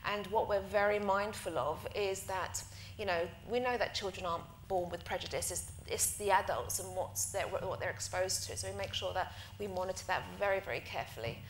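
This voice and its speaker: A woman who grew up speaking Greek, an art teacher answering on a panel in an earnest, animated way with many fillers and restarts. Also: mid-range voice, a little fast, few pauses. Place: conference hall. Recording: echoey hall with faint noise.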